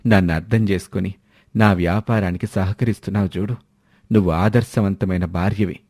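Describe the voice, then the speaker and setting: A man's voice: sits low, fairly even intonation, brisk, mellow, dry recording, clean studio sound. A man voicing an ambitious village husband in a moral story, reasoning and coaxing. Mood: persuasive. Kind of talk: animated moral-story dialogue.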